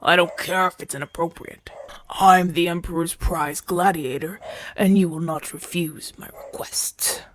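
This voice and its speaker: A man's voice: fairly high pitch, fairly even intonation, unhurried, a bit bright. A man playing a proud, impatient, flirtatious champion gladiator in pain, brusque and grumbling, in an ASMR audio roleplay. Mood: flirtatious, insistent. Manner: brusque, demanding, grumbling, sighing through pain.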